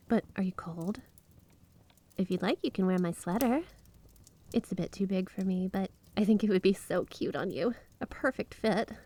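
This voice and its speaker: A woman's voice: medium pitch, dark tone. A woman playing a flirty, possessive snow spirit wife in a roleplay ASMR monologue, coy and teasing, doting on her listener with feigned innocence.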